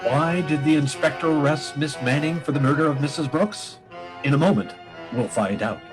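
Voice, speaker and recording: A man's voice: fairly high pitch, moderate pace. A man announcing programs in an old-time radio style, with music behind him.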